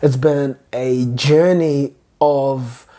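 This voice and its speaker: Young New woman, deep mellow voice, reflective co-host. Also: steady tone, drawn-out words. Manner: candid, reflective. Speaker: podcast co-host. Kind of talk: casual conversation.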